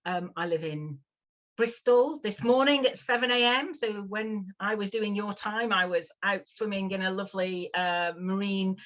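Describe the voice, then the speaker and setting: A woman's voice: medium pitch, moderate pace, fairly bright tone. A woman in her fifties with a Northern English accent, a guest chatting casually in an interview, with lots of fillers and restarts. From northwest England. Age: 50s.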